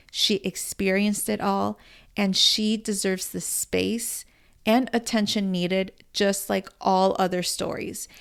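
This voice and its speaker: A woman's voice: mid-range voice, vocal fry, clear, resonant tone. A woman podcast host, earnest, mixing Spanish words into her English as she reads an intro and chats with a guest. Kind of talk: scripted intro then casual interview.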